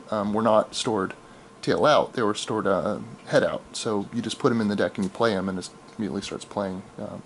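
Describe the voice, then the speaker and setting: A middle-aged American man gives a casual, unscripted show-and-tell, thinking aloud in a halting, explanatory way. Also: on the low side. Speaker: amateur audio archivist showing his tapes. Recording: clearly noisy home video, room ambience.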